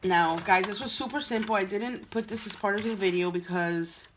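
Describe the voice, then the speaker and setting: A woman's voice: mid-range voice, normal speed, heavy background noise. A woman hosting a card-making tutorial, chatty and explaining each step, sounding nasal from a cold. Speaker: craft channel host.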